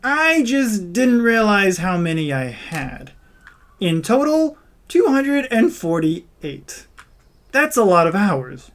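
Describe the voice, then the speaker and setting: A man's voice: high-pitched, sing-song, slow pace. A man hosting a video series, reading scripted narration with a theatrical, emphatic delivery.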